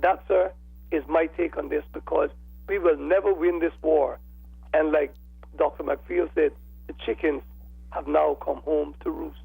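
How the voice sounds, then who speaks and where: An older man with a croaky, raspy voice, giving deliberate, formal political commentary as a phone-in caller. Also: fairly high pitch, fairly even intonation, warm tone, no echo. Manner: deliberate, formal, oratorical phrasing. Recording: telephone call into radio show.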